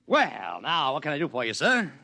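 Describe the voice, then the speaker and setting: An actor playing a brash, wisecracking publicity boss with an American accent and a glib, breezy manner in a 1950s radio drama. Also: male voice, on the high side, wildly swooping pitch, moderate pace, gravelly, bright, forceful tone, full character voice. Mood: eager salesman.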